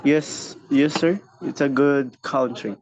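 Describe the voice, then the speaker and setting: A man in his twenties from the Philippines, a native Hiligaynon speaker, answering in halting English from his home beside a busy road. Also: mid-range voice, darkish tone. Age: 20s. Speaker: prospective student. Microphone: video call. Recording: muffled call with traffic noise.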